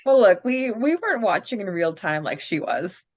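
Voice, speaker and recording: A woman's voice: mid-range voice, big pitch swings, normal speed, breathy edge. A woman co-hosting a casual fan podcast who chats in an easygoing way and says "like" a lot. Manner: chatty, uses "like" often. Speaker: podcast co-host. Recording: dull lo-fi mic sound.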